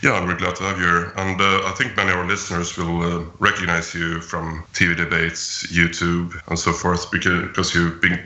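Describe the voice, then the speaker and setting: A man hosts a radio talk show with a very deep, flat, sharply bright voice. He asks his questions haltingly, restarting often. The sound is roomy and echoey. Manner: halting, many fillers and restarts. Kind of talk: hesitant interview questions.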